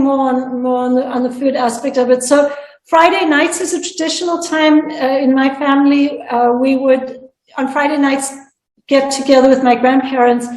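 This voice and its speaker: A woman's voice: high-pitched, a little mellow, reverberant, low-quality recording. A woman from South Africa, speaking earnestly as a panelist and sharing her own story, often restarting her sentences. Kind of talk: personal storytelling in a panel discussion.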